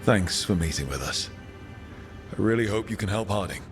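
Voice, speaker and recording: A friendly, inquisitive adventuring leader, has a lowish voice and a casual, supportive way of talking. It is video game acting over faint music. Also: male voice, animated, normal speed. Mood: questioning, steady.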